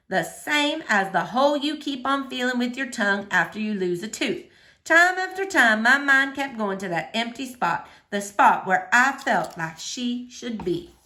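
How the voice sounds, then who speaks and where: Teacher lady reading a kids' book out loud, lively swoopy voice, really expressive. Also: sits high. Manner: expressive, animated storytelling. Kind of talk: storybook read-aloud for children.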